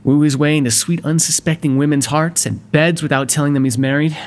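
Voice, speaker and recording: Casting: male actor for wry, exasperated yet courteous mobster, gravel-edged, conversational roleplay delivery, faint hum and noise on the recording. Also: fairly high pitch, fairly even intonation, brisk, lightly acted. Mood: wry, explaining. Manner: sardonic and conversational, swinging from exasperated to soft. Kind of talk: ASMR roleplay voice acting.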